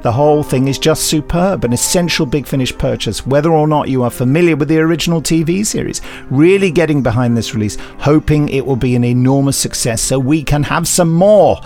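A man co-hosting a podcast, reading out fan reviews in a steady, easygoing manner. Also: a little high, fairly quick, few pauses, faint music behind. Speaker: podcast co-host.

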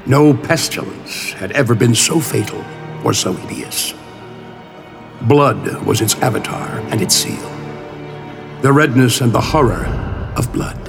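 A man giving a slow, brooding dramatic reading of gothic tales in an audiobook trailer. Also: medium pitch, expressive, creaky. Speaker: audiobook reader. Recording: trailer with music bed.

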